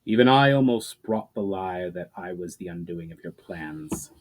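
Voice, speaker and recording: A man's voice: low-pitched, lively intonation, normal speed. A man voicing an amused, self-assured warrior who taunts his foe in a fantasy story reading. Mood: amused, taunting. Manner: mocking, amused.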